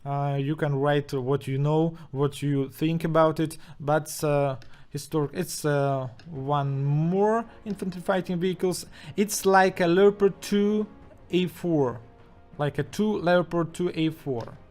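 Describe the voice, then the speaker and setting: Russian-accented guy talking slow, lots of uhs and restarts, kinda high voice. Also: fairly even intonation, darkish tone, soft background music. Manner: halting, hesitant, many fillers and restarts. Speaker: channel host. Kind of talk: unscripted commentary over video footage.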